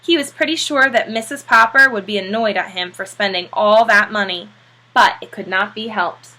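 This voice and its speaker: Bright-voiced woman reading a children's story. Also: mid-range voice, normal speed, rough recording. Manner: expressive read-aloud delivery. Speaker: teacher reading aloud. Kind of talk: scripted children's storybook narration.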